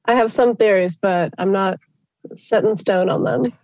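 A woman's voice: mid-range voice, unhurried, a little mellow, dry recording. A woman taking part in a podcast, chatting casually in short remarks. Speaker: podcast participant. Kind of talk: casual chat.

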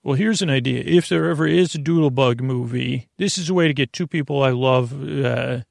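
Creaky voiced guy doing a rambly podcast intro, keeps trailing off, really dry close mic. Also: mid-range voice, no room sound. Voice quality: creaky, gravelly. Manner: halting, digressive, trails off mid-thought. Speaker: podcast host.

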